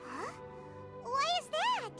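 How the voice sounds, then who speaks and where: Squeaky, very high fairy sidekick. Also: female voice, huge pitch swings, slow, exaggerated voice. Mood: curious. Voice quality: squeaky, childlike. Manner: perky, exclamatory, refers to herself by name. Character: small excitable childlike female fairy companion, easily alarmed. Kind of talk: anime video game dialogue. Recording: loud game music under the voice.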